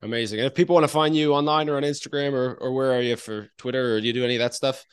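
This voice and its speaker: A man's voice: mid-range voice, steady tone, racing, clean tone. A man hosting a podcast in a casual, informal way, with loose rambling phrasing. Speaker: podcast host. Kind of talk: casual conversational interviewing.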